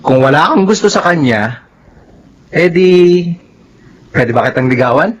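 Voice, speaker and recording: A teasing young student, lively swoopy delivery, slightly muffled filipino radio drama sound. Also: male voice, on the high side, a little slow.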